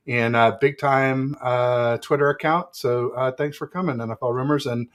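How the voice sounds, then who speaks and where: A man hosting a casual sports talk livestream, chatting in a halting way with frequent pauses. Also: medium pitch, fairly even intonation. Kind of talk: casual sports chat with a guest and live chat.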